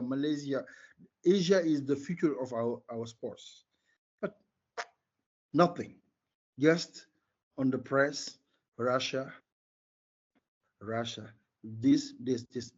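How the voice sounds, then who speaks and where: An older man from Senegal, a native Wolof speaker with a Senegalese accent, giving emphatic, opinionated interview answers in a husky voice and repeating words for stress. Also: mid-range voice, a little mellow. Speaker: interview guest, former sports marketing executive. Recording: dull, lo-fi call audio.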